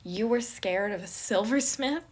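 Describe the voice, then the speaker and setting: Woman acting out a loud, gleefully chaotic man. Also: mid-range voice, unhurried, breathy edge. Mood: brash amusement. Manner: loud, theatrical, exclaiming. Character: boisterous, gleefully chaotic eccentric man who scolds birds. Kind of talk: fan fiction audio story character.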